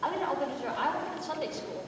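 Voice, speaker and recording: A woman's voice: high voice, expressive, fast talker. A woman playing a cheeky, bragging schoolboy in a readers theater skit. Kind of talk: readers theater stage acting. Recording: noisy echoey hall, far from mic.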